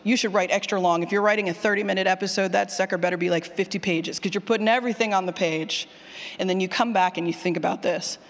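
A woman speaks to a conference audience in a room with long, ringing reverb. Her delivery is informal and animated, with punchy emphasis and slangy phrasing. She sounds like a workshop leader who likes to put questions straight to the room. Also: medium pitch, fast. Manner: animated, colloquial, emphatic, mildly sweary, puts questions to the room. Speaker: speaker leading a workshop on audio drama writing.

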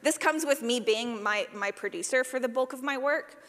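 A woman giving an informal talk as a sound designer, animated and self-deprecating, with a jokey manner and frequent use of "like". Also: high voice, moderate pace. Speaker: speaker, sound designer for audio fiction. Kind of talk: informal conference talk with audience questions. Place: large reverberant room, live talk. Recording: reverberant hall sound.

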